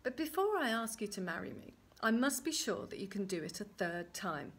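A woman's voice: mid-range voice, very animated, moderate pace, slightly rough. A woman voicing a delighted but demanding king in a storybook tale. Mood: pleased, demanding. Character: delighted, greedy king praising and commanding.

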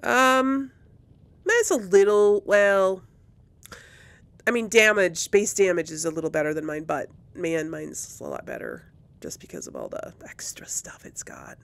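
Animated, creaky-voiced woman gamer. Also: on the low side, unhurried. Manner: chatty, thinking aloud. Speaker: let's play host. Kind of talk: casual gameplay commentary.

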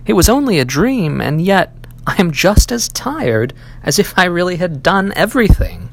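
A man's voice: sits high, sing-song, moderate pace, creaky, voice pushed up, exaggerated voice. A man voicing a weary, bewildered princess in a storybook fairy tale. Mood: weary bewilderment. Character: weary, bewildered young princess recounting a dream.

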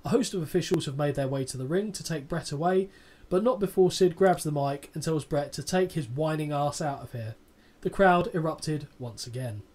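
Dry sarcastic podcast host. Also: male voice, fairly high pitch, normal speed, darkish tone. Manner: dry, sarcastic, swears casually. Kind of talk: casual recap chat, reading a match summary.

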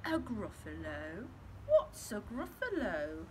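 A woman voicing a sly, hungry fox in a storybook reading, slow and coaxing. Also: medium pitch, wildly swooping pitch. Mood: nervous. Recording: loud background noise.

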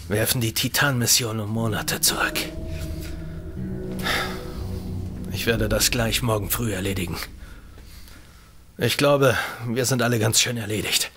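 An actor playing a strained, authoritative leader of a research station in an audio drama. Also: male voice, lowish voice, bright, forceful tone. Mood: grave, weary. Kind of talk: German audio drama acting.

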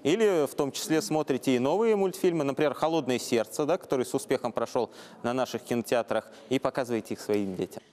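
A man's voice: mid-range voice, fast talker, darkish tone. A man in his thirties moderating a studio discussion as a talk show host, speaking into a handheld microphone. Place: TV studio with seated audience.

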